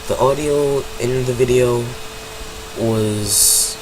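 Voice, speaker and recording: A man's voice: mid-range voice, slow. A young man with a cold, casually commenting on a game he is playing, rambling and correcting himself as he goes. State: has a cold, stuffy nose. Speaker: gaming channel host. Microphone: home desk mic. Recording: constant hum and background noise.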